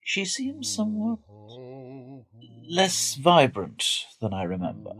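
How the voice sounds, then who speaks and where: An actor playing a genial, earnest visiting gentleman in a period radio drama, polite and coaxing in manner. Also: sits high, huge pitch swings, slow, exaggerated voice. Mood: concerned, reassuring.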